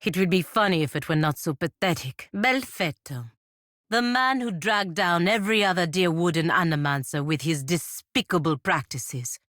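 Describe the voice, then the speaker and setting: An actress playing a proud, sardonic fantasy warrior-diplomat in a video game, speaking formally and with dry scorn. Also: female voice, on the low side, normal speed, fairly bright tone, dry recording, close to an everyday voice. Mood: dry, scornful. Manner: formal, clipped, dryly scornful. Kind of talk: video game character dialogue.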